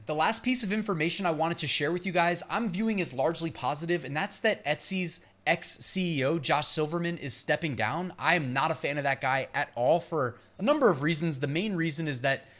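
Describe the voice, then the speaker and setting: Animated male explainer with higher-pitched voice. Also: brisk. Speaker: host of an online seller advice channel. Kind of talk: explainer commentary to camera, part reading out points.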